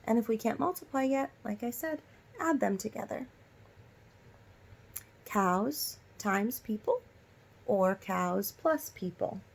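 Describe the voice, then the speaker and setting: A woman's voice: fairly high pitch, expressive, takes it slowly, vocal fry, pauses often, slightly rough. A woman teacher reading a children's story aloud and prompting listeners with instructive questions.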